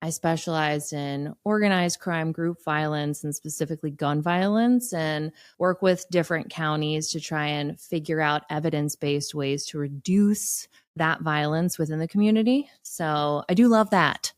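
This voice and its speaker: A woman's voice: sits low, moderate pace, few pauses, no echo, studio quality. A woman guest on a podcast, talking casually in an interview.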